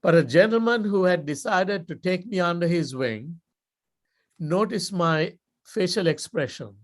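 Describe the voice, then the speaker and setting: An older man from Sri Lanka with a Sri Lankan accent, giving a motivational club speech in a measured, deliberate storytelling style with dramatic pauses. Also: high voice, animated. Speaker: guest speaker.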